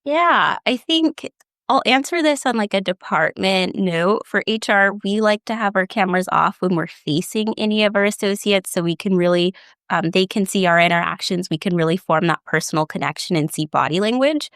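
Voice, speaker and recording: Woman HR guest, steady organized answers, some vocal fry, smooth tone, dry sound. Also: mid-range voice, moderate pace, dry recording. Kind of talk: conversational interview answers.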